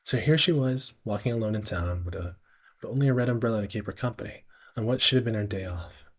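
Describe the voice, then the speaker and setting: A man live streaming, reading visual novel narration aloud in a halting way, often stumbling and repeating phrases. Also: fairly low pitch, husky.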